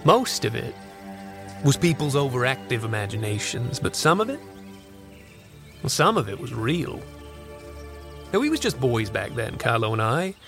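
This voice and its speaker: An actor playing an old country man who wistfully recalls a strange boyhood in folksy, unhurried asides for an audio drama. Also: mid-range voice, sing-song, over music. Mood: mysterious, reminiscing. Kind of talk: first-person storytelling in an audio drama.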